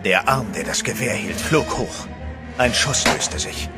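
A man's voice: mid-range voice, animated, unhurried. A man narrating a horror radio play in the first person, tense and dramatic. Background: music.

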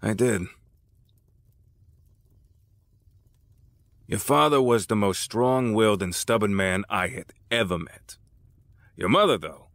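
An actor playing an older crime boss in an audio drama, patronizing and deliberate, with a smug, folksy menace. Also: male voice, fairly low pitch, a bit bright, dry recording. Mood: wistful, nostalgic.

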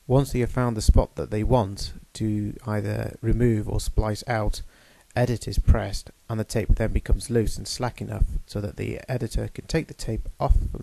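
A man giving a measured, technical demonstration of a studio machine. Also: lowish voice, vocal fry, dark tone, dry recording, poor audio. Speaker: equipment demonstrator. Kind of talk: explanatory voice-over demonstration.

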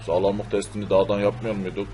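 A man playing a gruff, plaintive fellow who fusses over his beloved cars in an amateur cartoon dub. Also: on the low side, little pitch movement, quick pace, warm tone, natural voice. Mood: puzzled. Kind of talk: amateur cartoon-style game video dub.

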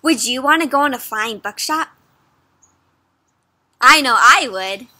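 A young adult woman reading a picture book aloud to children in an animated, interactive way, addressing her listeners directly. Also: high voice, a little slow. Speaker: children's story reader, host. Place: outdoors under trees.

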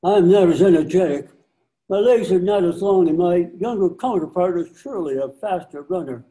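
A man's voice: high-pitched, a little fast, warm tone, lightly acted, poor audio. An older man playing a crafty, covetous old friar in amateur readers theater, with declamatory archaic speech. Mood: scheming. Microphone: computer mic on a video call.

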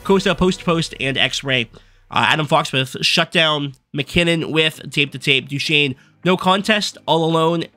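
A young man at his desk races through a casual commentary, tumbling from one point to the next with plenty of fillers. His voice is a little high and bright, hoarse because he is losing it. Faint music plays underneath. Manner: fast, rambling card-by-card rundown with fillers. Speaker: gaming YouTuber. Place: small room at a desk.

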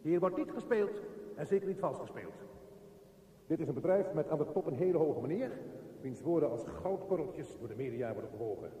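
An actor playing a bossy, self-important, grumpy and pedantic office manager in a radio play, lecturing pompously. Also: male voice, on the high side, lively intonation, fairly quick, mellow, lightly acted. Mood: pompous, stern. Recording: roomy, reverberant, distant from the mic.

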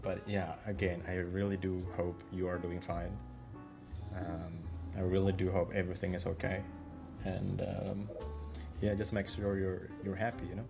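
A man's voice: sits low, little pitch movement, normal speed, gravelly. A young man chatting kindly with a stranger on video, reassuring her and repeating words. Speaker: video chat streamer. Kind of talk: friendly video chat with a stranger. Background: guitar music.

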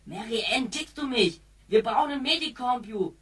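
An actress playing a frustrated, rebellious, foul-mouthed youngster in a science fiction radio play. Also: female voice, on the high side, expressive, moderate pace, fairly bright tone, distant mic. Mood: indignant. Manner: indignant, snapping.

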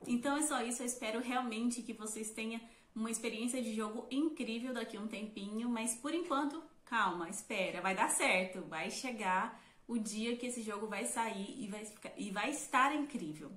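A young woman with a Brazilian accent speaks in a brightly raised voice, gushing and upbeat. Her words bounce around a small, roomy space picked up from desk distance. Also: fairly high pitch, normal speed. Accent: Brazilian Portuguese. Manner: upbeat, gushing, lots of "incrível". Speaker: fan dub voice actress. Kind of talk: casual testimonial to camera. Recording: roomy echo.

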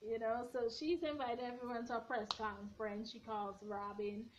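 A young woman in her twenties, slightly hoarse, giving a blunt, sassy recap of a reality show from her bedroom, filmed on a front camera at arm's length. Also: on the high side, normal speed, noisy background. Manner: sassy, blunt, animated hand gestures. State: a bit hoarse. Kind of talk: casual opinionated recap. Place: bedroom at home, indoors. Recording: dull lo-fi webcam audio.

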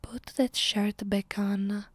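A woman with an Italian accent voicing a haughty, sadistic vampire mistress in a close-miked ASMR roleplay, soft and breathy, often dropping to a whisper, commanding and deliberate. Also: mid-range voice, fairly even intonation, no room sound. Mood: savoring, imperious. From Italy. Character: haughty, sadistic female vampire mistress savoring her power. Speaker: voice actress. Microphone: close microphone.

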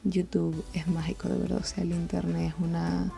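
A young Venezuelan woman talks casually over soft music. Her voice is on the low side and mellow, with a lot of creak, and she rambles along informally in a conversational way. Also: level tone, normal speed. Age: 20s. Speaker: art channel host. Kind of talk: casual chatty voice-over over drawing.

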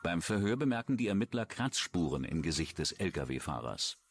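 A man's voice: low-pitched, sing-song, normal speed, faint music behind. A man reading scripted true-crime narration as a documentary narrator.

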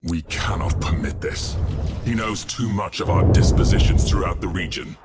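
An actor playing an imperious, ruthless warlord in a game cutscene, with haughty, weighty, theatrical delivery. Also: male voice, sits low, normal speed, background noise, natural voice. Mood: grim, calculating. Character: imperious, ruthless male Chaos warlord commanding a war fleet. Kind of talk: dramatic game cutscene acting. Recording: voice under very loud music. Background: loud music.

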